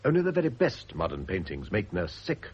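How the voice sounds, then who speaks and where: Male for urbane, ironic British husband in radio play; low voice, very mobile delivery, self-indulgent wit. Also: wildly swooping pitch, fairly quick, darkish tone, husky, full character voice. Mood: dryly amused. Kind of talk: classic radio drama acting. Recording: muffled, noisy old radio recording.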